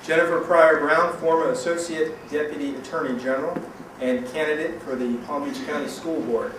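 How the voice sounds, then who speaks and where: A man's voice: on the high side, fairly even intonation, brisk. A man acting as master of ceremonies, introducing speakers at an event in an informal, lightly joking way. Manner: casual, joking asides. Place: large reverberant room at a public event. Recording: very echoey hall, distant mic, loud noise.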